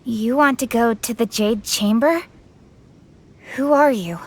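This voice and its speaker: An actress voicing a brisk, direct young noblewoman official in a video game. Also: on the high side, background noise. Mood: stern. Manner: crisp, direct, a little sharp.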